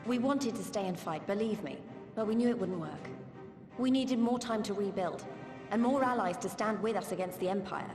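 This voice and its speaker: A woman's voice: mid-range voice, normal speed, natural voice. An actress playing a friendly, hopeful young female freedom fighter in a video game, speaking in an informal, earnest way. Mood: earnest, determined. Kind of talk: scripted game voice acting. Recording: echoey hall reverb under clear music.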